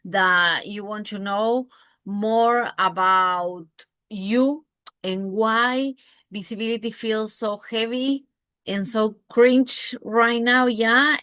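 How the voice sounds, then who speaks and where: A woman's voice: fairly high pitch, a bit bright, dry recording. A woman from Argentina with an Argentine Spanish accent, a brand and visibility mentor giving a live masterclass. She talks effusively, swears casually and keeps checking in with tag questions. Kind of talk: live masterclass talk to camera.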